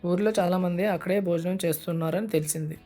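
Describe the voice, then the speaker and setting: Woman as male cartoon minister, deferential, formal, low pitch for a woman, mellow, music underneath. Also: steady tone, quick pace, close to an everyday voice. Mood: puzzled, reporting. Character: loyal adult male royal minister, deferential.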